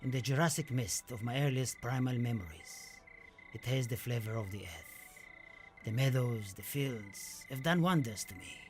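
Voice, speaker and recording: A man's voice: mid-range voice, expressive, moderate pace, soft background music, lightly acted. An actor playing a passionate, wistful Spanish poet looking back on his life and death in a theatrical, declamatory radio play monologue. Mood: nostalgic, reverent. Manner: dramatic, declamatory, lingering over poetic phrases.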